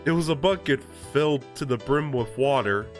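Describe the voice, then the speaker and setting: A young man with a congested, stuffy voice reads a story aloud on a relaxed live stream, chatting between passages with easy laughs and plenty of fillers. Soft music plays faintly behind him. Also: medium pitch, normal speed. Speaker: streamer reading a visual novel aloud. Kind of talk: live-streamed casual reading and chat.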